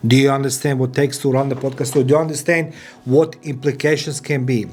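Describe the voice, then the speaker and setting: A man's voice: medium pitch, little pitch movement, fast talker, darkish tone. A man from Croatia, giving an emphatic motivational talk in as a podcaster and business owner. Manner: emphatic, repetitive, non-native phrasing. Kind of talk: motivational monologue.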